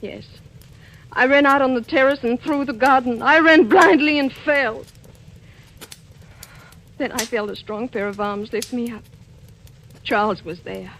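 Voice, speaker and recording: A woman's voice: on the high side, a little slow, long pauses, a bit bright. An actress playing a guilt-ridden, tragic woman assassin in a 1940s radio suspense drama, in a melodramatic style. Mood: anguished, pleading. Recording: noisy old radio recording.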